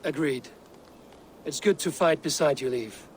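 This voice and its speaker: A man performs a steady Viking warrior hero from a video game. His voice is a little high and composed, with terse phrasing over faint ambience. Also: unhurried, a little hiss or hum, lightly acted. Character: steady, loyal male Viking raider hero. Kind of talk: dramatic video game acting.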